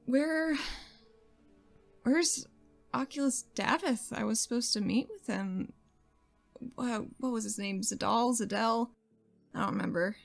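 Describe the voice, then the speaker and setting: Woman as uneasy heroine with creaky voice. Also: fairly high pitch, measured pace, pauses often, soft background music. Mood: confused, uneasy. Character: guarded, uneasy woman returning to active duty.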